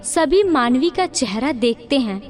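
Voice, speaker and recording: A woman narrates a cartoon moral story from a script. Her voice is very high and her delivery is slow, with background music running beneath. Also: lively intonation, a little mellow.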